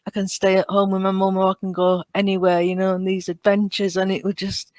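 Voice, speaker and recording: A British woman in her thirties chatting casually and telling stories in an animated, chatty way. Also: medium pitch, fairly quick, dry recording, studio quality. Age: 30s. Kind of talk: casual conversational chat.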